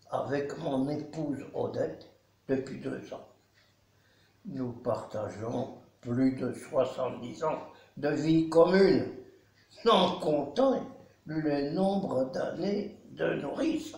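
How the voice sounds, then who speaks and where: A man in his nineties from Belgium, a care home resident, giving a solemn, measured spoken testimony. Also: a little high, animated, vocal fry, warm tone. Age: 90s. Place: nursing home. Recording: echoey room.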